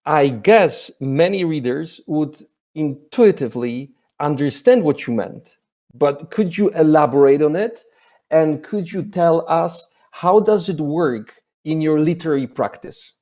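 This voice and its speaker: Polish guy hosting a festival interview, lots of uhs, mellow dark voice. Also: a little high, animated, unhurried. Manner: hesitant, many filler sounds. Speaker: festival program director, interviewer. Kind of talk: formal online interview.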